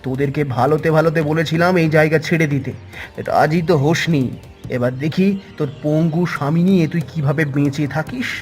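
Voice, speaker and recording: A man voicing a loud landlord's henchman, a villain in a cartoon folk tale. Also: fairly high pitch, steady tone, fairly quick, soft background music, natural voice. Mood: threatening.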